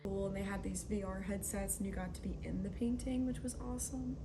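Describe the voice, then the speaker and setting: A woman's voice: medium pitch, flat delivery, brisk. A twenty-one-year-old woman in an interview, speaking enthusiastically. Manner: enthusiastic, upbeat. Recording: music bed underneath.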